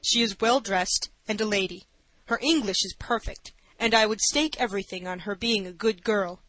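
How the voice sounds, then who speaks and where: A woman's voice: medium pitch, normal speed, a bit bright, no echo, close to an everyday voice, low-quality recording. Woman voicing a kindly, authoritative male judge. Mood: kind, tender. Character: kindly older male judge, stern then tender.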